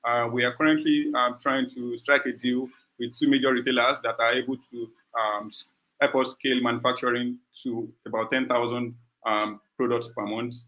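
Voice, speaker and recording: A man's voice: mid-range voice, level tone, moderate pace, sharp tone, low-quality recording. A man answering judges' questions as a startup founder on an online call, speaking unscripted.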